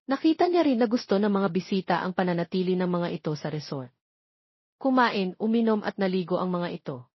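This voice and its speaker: Woman narrating a romance story, mid pitch, dry n a bit lo-fi. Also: expressive, a little fast, breathy edge, dry recording.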